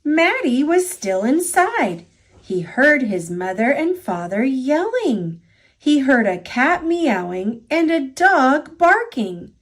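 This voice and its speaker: American woman, children's storybook reader, high-pitched, animated intonation, slow deliberate delivery. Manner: expressive, deliberate. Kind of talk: read-aloud children's storytelling.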